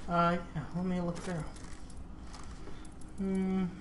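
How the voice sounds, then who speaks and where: A woman's voice: on the low side, monotone, takes it slowly. A woman chatting casually while streaming a co-op video game. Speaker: co-op gaming streamer. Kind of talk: casual gameplay chat. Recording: clear background noise from game stream.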